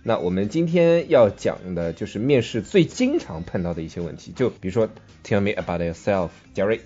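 A husky-voiced man with a Mandarin Chinese accent teases his co-host as he explains English words in a podcast lesson. His pitch moves up and down a lot. The recording is dull and lo-fi, with faint music underneath. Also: lively intonation, brisk, mellow. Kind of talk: bantering bilingual lesson chat.

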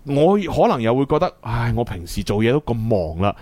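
A young man with a Cantonese accent, hosting a casual radio chat show in an animated, exclamatory way with frequent chuckles. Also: mid-range voice, a little fast, no room sound. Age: young adult.

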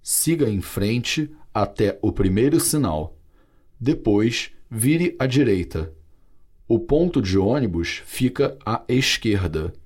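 A man with a Brazilian Portuguese accent reading vocabulary and dialogues for a language course, clear and carefully articulated. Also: medium pitch, animated, slow pace, darkish tone. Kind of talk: slow scripted language-lesson reading.

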